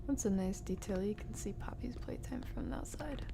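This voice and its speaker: A woman's voice: mid-range voice, a little fast, background noise. A woman streamer giving casual live gameplay commentary, chatting to herself and her viewers in slangy asides. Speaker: video game streamer.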